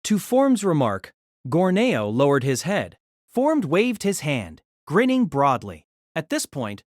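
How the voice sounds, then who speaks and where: A woman's voice: on the low side, no room sound, clean studio sound. A woman reading scripted narration of a light novel as the narrator of an audio drama.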